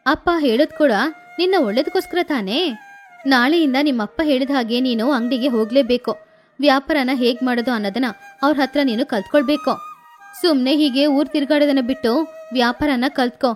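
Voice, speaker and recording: A protective middle-aged mother in a cartoon, played by a woman, with a high voice that switches between coaxing and chiding. She talks in a warm, homely way. Quiet music runs under her lines. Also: fast, close to an everyday voice. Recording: faint background music.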